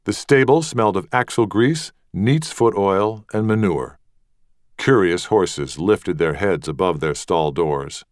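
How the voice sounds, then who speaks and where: A man reads literary fiction as an audiobook narrator. His voice is deep and his intonation varied, with deliberate phrasing. The recording is dry and close. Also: dry recording.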